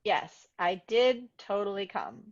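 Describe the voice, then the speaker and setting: A woman's voice: medium pitch, lively intonation, slow. A woman reading the part of a guarded, cool young woman in a screenplay table read, with a dry, understated delivery. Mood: casual. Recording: dull, lo-fi voice recording.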